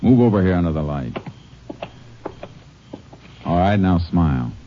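An actor playing a stern Texas Ranger who talks in a clipped, commanding way, in 1940s radio acting style. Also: male voice, sits low, lively intonation, measured pace, a little hiss or hum. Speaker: narrator and lead. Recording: dull old radio recording.

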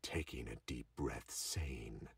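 A man's voice: a bass voice, takes it slowly, gravelly, husky, dry recording. A man narrating a scripted audio drama, recorded at some distance from the microphone with noise behind him. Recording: distant mic with background noise.

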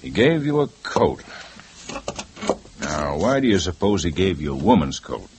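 Calm police detective, very deep voice, lively inflection, old radio play. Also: male voice, a little slow. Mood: probing. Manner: calm, probing, firm. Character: calm male police detective, steady questioner. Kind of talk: 1950s radio drama acting. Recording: old radio recording with faint hiss.

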